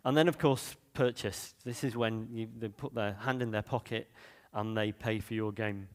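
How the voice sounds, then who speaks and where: A man's voice: on the low side, fairly even intonation, normal speed. A man from Manchester with a Mancunian accent, giving a chatty conference talk full of fillers and restarts. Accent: Mancunian English. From Manchester, England. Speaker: conference speaker. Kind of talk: conference talk on game marketing. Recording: long reverb of a hall.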